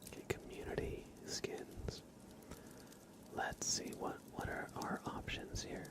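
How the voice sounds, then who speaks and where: A man hosting an ASMR livestream, chatting casually and gently with viewers in a soft, breathy whisper. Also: slow. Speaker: live ASMR streamer and host.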